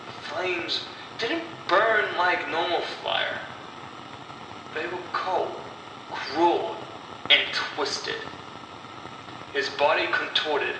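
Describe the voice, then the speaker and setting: A man storyteller narrating a scripted horror story in a deliberate way, with dramatic pauses. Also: on the high side. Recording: echoey, distant voice with clear noise.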